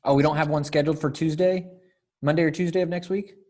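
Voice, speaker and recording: Male, slightly high voice, group director on a video call, informal and encouraging, checking in with everyone. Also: steady tone, fast, a little mellow. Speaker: director of a readers theater group. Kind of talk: casual video-call rehearsal planning.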